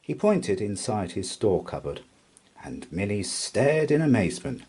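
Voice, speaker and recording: A man reads a children's story aloud as narrator. His voice is mellow and husky, a little on the low side. He tells it expressively, with lots of melodic movement. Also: sing-song, measured pace.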